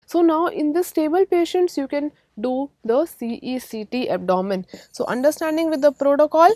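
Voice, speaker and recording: A woman with an Indian accent gives an exam-prep medical lecture in a high-pitched voice. She stresses and repeats key points, often asking questions to check understanding. The sound is dry, with very little room echo. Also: expressive, moderate pace, a little mellow.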